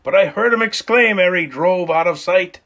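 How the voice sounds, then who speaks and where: A man reading a classic Christmas poem aloud, with dramatic swings between hushed low lines and loud calls. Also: moderate pace. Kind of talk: expressive storybook read-aloud.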